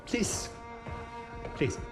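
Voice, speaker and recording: An actor playing a coolly menacing military interrogator with a mock-polite manner in a war game. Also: male voice, drawn-out words, over loud music. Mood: mock-polite menace. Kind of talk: cinematic war game acting.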